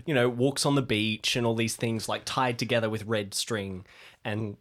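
A man chatting casually and excitably as a player on an improv role-play podcast. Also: lowish voice, normal speed. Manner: excitable, animated. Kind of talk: casual group banter about a role-playing character.

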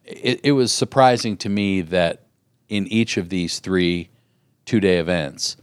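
A man's voice: deep voice, a little slow, clean tone, no echo, studio quality. A man co-hosting a podcast, talking earnestly and reflectively in a casual conversation. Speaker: podcast co-host.

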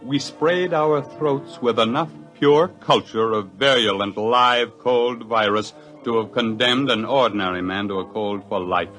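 An actor in an old radio drama playing a hard-pressed, take-charge scientist, speaking with dramatic force. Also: male voice, medium pitch, a bit bright. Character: hard-pressed, take-charge male scientist narrating his own ordeal.

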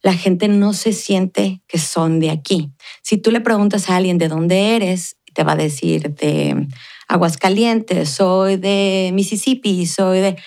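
A woman's voice: fairly low pitch, lively intonation, takes it slowly, a little mellow. A middle-aged woman from the border region, a podcast guest talking earnestly and reflectively, with many fillers. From Mexican border region. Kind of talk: casual conversation.

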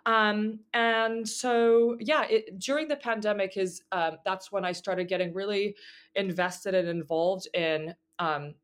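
Woman guest, casual and reflective, fills pauses, restarts sentences. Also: medium pitch. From Hong Kong. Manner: thoughtful, many fillers and restarts, laughs easily. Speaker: guest, mezzo-soprano. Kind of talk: casual interview chat.

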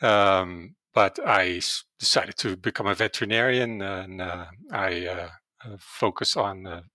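A middle-aged man with a Dutch accent explains calf disease as a podcast guest, hesitating often with fillers. His voice is bright and a little low, and it sits dry and close to the mic with no room sound. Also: unhurried, no echo. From Netherlands. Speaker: veterinary virology professor, guest. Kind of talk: podcast interview.

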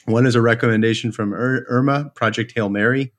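A man's voice: mid-range voice, steady tone, brisk. A man chatting casually as an interview guest, self-deprecating and enthusiastic, often restarting his sentences as he tells personal stories. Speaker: podcast guest, company co-founder. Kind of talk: casual chat, personal storytelling.

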